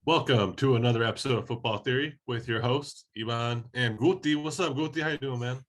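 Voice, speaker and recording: A man from Oregon co-hosting a soccer podcast, talking in a relaxed, casual way while slightly hoarse from a head cold. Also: mid-range voice, fairly quick. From Oregon, USA. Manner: laid-back, conversational, many fillers. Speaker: podcast co-host.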